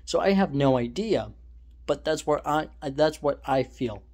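Slow, rambling young man on his phone. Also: a little high, animated, darkish tone. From California, USA. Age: young adult. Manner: rambling, self-correcting, opinionated. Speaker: vlogger. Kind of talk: casual opinion monologue. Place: indoors, small room at home. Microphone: phone held at arm's length, selfie framing.

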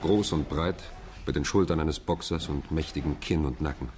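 Radio drama barrister-narrator, deep voice, sober and measured delivery. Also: male voice, a little mellow, a little hiss or hum. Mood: tense, sober. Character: Irwin, measured young English barrister narrating his case. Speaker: narrator and character.

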